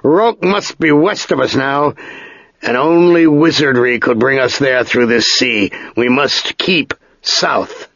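A man voicing a stout, gruff ship's master who bellows orders over a storm, in a raised, slow, strained voice. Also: medium pitch, a bit bright, dry recording, muffled recording, full character voice. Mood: bellowing.

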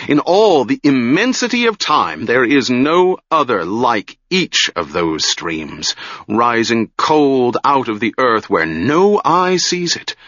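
A grieving older wizard, gentle and grave in manner, voiced by a man reading a story. The voice sits low and moves slowly. The sound is dull and dry, like a close studio read. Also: no room sound, exaggerated voice.

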